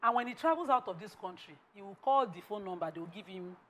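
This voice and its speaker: A woman with a Nigerian accent arguing insistently in an animated panel debate. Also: medium pitch, fast, slight background noise. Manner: argumentative, insistent. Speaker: talk show panelist.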